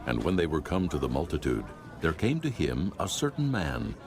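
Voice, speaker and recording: A man narrating scripture in archaic English as a solemn, measured storyteller. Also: very deep voice, huge pitch swings, crowd noise.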